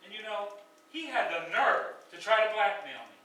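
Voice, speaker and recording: A man's voice: sits high, lively intonation, moderate pace, sharp tone, recorded from a distance, slight background noise. Man acting troubled pastor, very echoey stage recording. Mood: defensive, indignant. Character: troubled, defensive male church pastor in a hospital bed. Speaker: stage actor. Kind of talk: live stage play acting. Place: stage in a roomy hall.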